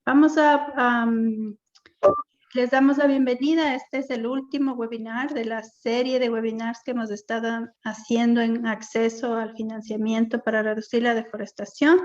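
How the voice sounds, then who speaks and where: A woman hosting a webinar over a video call, welcoming participants and giving instructions in a halting way. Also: a little high, little pitch movement. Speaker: webinar host and moderator. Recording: dull, lo-fi online call audio.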